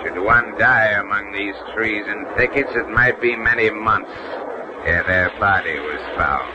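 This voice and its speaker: A very noisy old radio horror recording carries a man playing a cursed sea captain, bitter and menacing. He speaks in archaic, theatrical phrases, low and very slow, as if brooding on doom. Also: highs cut off, lightly acted. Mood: brooding menace. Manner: archaic, ominous, theatrical speech.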